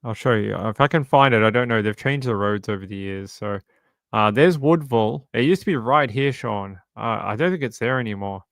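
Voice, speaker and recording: A man's voice: on the low side, brisk, no echo, studio quality. An Australian man around forty, from Sydney, chatting casually on a livestream and rambling through childhood memories with many restarts. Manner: rambling, self-correcting storyteller. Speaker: true crime streamer.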